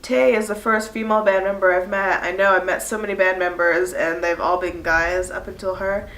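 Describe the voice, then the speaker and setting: A young woman in her early twenties talks casually to her viewers, her voice stuffy and congested from a cold. She rambles along with frequent fillers in a mid-pitched voice. The sound bounces around an echoey room. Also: flat delivery, fairly quick, a bit bright. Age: early 20s. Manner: chatty, rambling, many "like" fillers. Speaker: vlogger. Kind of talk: casual chat to camera.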